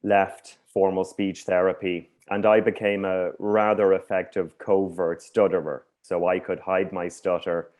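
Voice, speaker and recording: An Irish man who stutters, hosting a workshop presentation in a measured way with slight blocks on some words. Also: low voice, flat delivery, darkish tone. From Ireland. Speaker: workshop host. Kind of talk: conference presentation. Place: video call.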